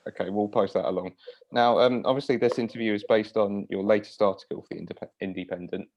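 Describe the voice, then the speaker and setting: A man's voice: fairly low pitch, steady tone, quick pace. A man hosting an interview, putting polite, semi-formal questions on a slightly dull recording. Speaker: interviewer, host.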